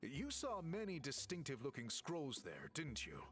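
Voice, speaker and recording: A composed scholarly researcher from a video game. He explains in formal, courteous phrases with an earnest air and lively rise and fall. Music sits behind the voice. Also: fairly high pitch. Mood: thoughtful. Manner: formal, measured explanatory delivery.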